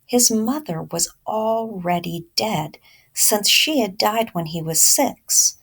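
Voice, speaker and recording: Slow-paced woman teacher explaining. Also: mid-range voice. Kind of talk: instructional read-aloud of a children's novel with explanation.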